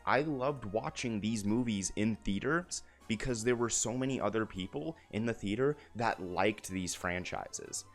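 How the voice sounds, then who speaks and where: Low-pitched young man, enthusiastic fan commentary. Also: measured pace, hardly any pauses. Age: young adult. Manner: enthusiastic, emphatic fan talk with repeated phrases. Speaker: video essay host. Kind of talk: casual opinionated commentary to viewers. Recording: music bed behind the voice.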